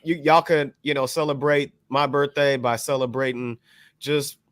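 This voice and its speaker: A man's voice: medium pitch, level tone, moderate pace, dry recording, clean studio sound. A man chatting as a panelist on a podcast, wordy and affirming, often repeating short phrases. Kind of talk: casual panel chat.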